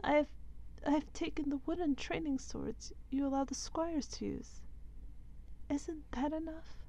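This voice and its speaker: A woman playing a young princess, stubborn yet unsure of herself, in a soft, hesitant ASMR roleplay. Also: high voice, steady tone, measured pace, pitched above the performer's own voice. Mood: pleading, defiant. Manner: soft, hesitant, breathy asides.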